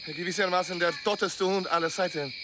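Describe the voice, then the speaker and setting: An actor playing a laconic, dryly mocking boatman in a radio play, deadpan and wry. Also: high voice, brisk, background noise, natural voice. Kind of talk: German radio play acting.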